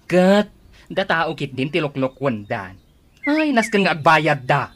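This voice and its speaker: A woman's voice: low-pitched, very animated, unhurried, heavily acted. An actress playing a bold, scheming woman in an Ilocano radio comedy drama. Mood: determined.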